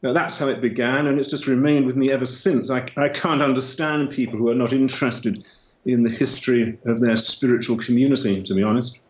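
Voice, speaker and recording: A man's voice: mid-range voice, fairly even intonation, fairly quick. A man in his fifties from London with an English accent, answering as an interview guest. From London, England. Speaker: guest, church historian. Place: indoor room with long table. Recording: roomy, dull, noisy remote link.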